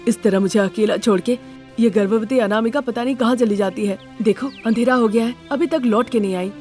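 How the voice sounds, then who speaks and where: A woman's voice: a little high, brisk, a little mellow, faint music behind, close to an everyday voice. A woman voicing a troubled, fretful injured husband in a moral story. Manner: complaining, troubled. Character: injured husband in wheelchair, fretful, suspicious, later remorseful.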